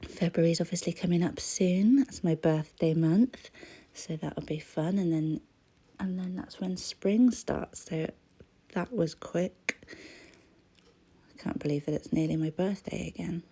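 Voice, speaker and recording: Woman rambling over her crafting, croaky and gravelly, low mellow voice. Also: a little slow. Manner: rambling, chatty, often drops to a whisper. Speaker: crafting vlogger. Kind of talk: casual voice-over chat while crafting.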